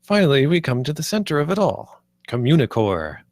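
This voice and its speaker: A man's voice: fairly high pitch, very animated, fairly quick, dry recording. A man playing an earnest, idealistic theme park planner in a screenplay table read, a little hesitant. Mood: enthusiastic. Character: earnest, idealistic male theme park planner named Marty, nervous, visionary.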